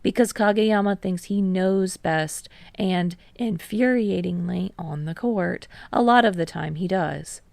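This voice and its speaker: A woman's voice: fairly low pitch, measured pace, gravelly, few pauses, a little mellow, no room sound. A woman reading scripted fiction as an audiobook narrator.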